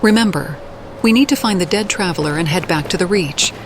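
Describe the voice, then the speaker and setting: A woman voicing an earnest, cautious female mage adventurer in a fantasy game, speaking in a measured, firm, formal way. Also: lowish voice, noisy background, lightly acted. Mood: measured, wary.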